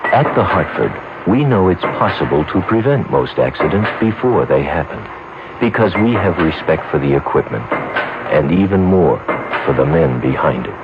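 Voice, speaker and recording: A man reading a radio commercial in a measured, earnest way. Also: rumbling low, expressive. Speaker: commercial announcer. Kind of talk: scripted radio advertisement. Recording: dull old radio recording with heavy hiss.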